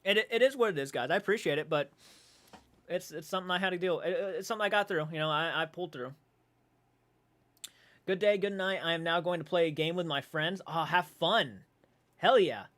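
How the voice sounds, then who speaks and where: A man in his twenties hosting a casual livestream, reading viewer comments aloud and reacting with animated, swearing banter. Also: on the high side, a little fast, pauses often. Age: 20s. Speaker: livestream host. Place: dark room at home.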